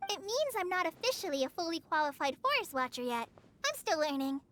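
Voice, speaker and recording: A nervous young girl ranger from a game, very high and wavering widely in pitch. She is shy and modest, with faint background rumble. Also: big pitch swings, brisk. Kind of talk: English video game voice acting.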